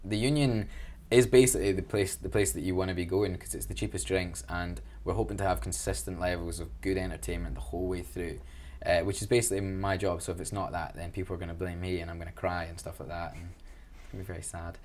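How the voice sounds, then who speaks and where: Chatty Glaswegian young man, low voice. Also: level tone, fast, hardly any pauses, a little mellow. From Glasgow, Scotland. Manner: enthusiastic, chatty, self-deprecating. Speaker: student union events officer. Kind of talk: casual interview answers.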